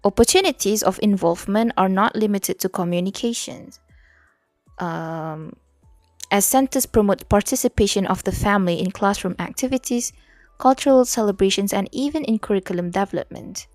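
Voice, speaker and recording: A woman's voice: mid-range voice, normal speed, darkish tone, dry recording, clean studio sound, soft background music. A young Malaysian woman with a Malaysian accent, chatting casually on a study stream, full of fillers, switching between English and Malay, sometimes reading her notes aloud. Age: young adult.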